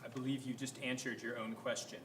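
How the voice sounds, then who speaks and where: A man's voice: mid-range voice, little pitch movement, fairly quick, close to an everyday voice. A man reading the part of Jesus, a grave and sorrowful teacher, in a reader's theater performance of scripture. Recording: echoey room, faint background noise.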